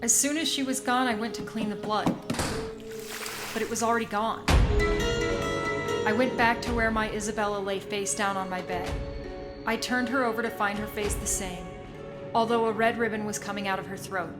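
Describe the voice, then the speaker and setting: A woman's voice: mid-range voice, fairly even intonation, normal speed, long pauses, close to an everyday voice. An actress playing a frightened, haunted young woman in a radio play, with intense, dramatic delivery. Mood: eerie, uneasy. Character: haunted, frightened young Sicilian woman seeing bloody visions. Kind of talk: cinematic radio play, first-person narration and dialogue. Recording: music under the voice. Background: music soundtrack.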